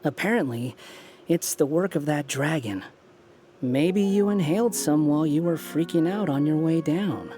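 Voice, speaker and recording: A man's voice: a little high, normal speed, warm tone, slight background noise, natural voice. A male actor playing an aloof, irritable young hero in a video game, speaking with dismissive sarcasm. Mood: dry mockery. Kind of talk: English video game voice acting. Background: game music.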